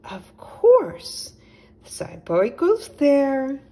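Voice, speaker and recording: A woman's voice: high voice, huge pitch swings, very slow, dark tone, pitched above the performer's own voice, heavily acted. A woman voicing an anxious, fussy and very polite little male owl in a children's storybook. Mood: delighted, decisive. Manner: flustered, prim, speaks in small repeated phrases.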